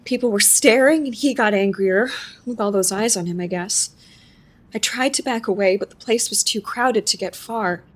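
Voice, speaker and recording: A woman's voice: mid-range voice, normal speed, lightly acted. An actress playing a shaken, wondering woman who recounts her strange experience in a confiding, conversational monologue for a live audio drama. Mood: tense. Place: live on stage in a theater.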